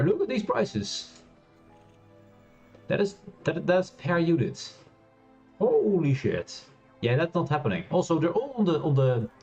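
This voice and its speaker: Lively-voiced male streamer, chatty and loud. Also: mid-range voice. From Europe. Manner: chatty, rambling, laughs often, many fillers and restarts. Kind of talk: casual gameplay commentary. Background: quiet game music.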